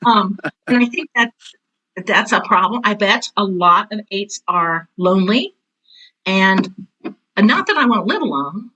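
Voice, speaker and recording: Interview guest: American woman, 60s, crisp bright delivery, frank, no-nonsense storyteller. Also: mid-range voice, lively intonation, measured pace, muffled recording, slight background noise. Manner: blunt, forthright, anecdotal. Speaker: interview guest, drama teacher and author. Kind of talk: candid personal conversation.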